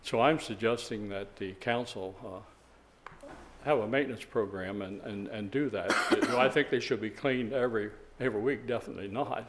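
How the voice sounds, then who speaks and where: A man's voice: lowish voice, normal speed, fairly bright tone, slight background noise. An older man, a local resident, giving a rambling, folksy public comment at a town council meeting. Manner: folksy, rambling, chuckling asides.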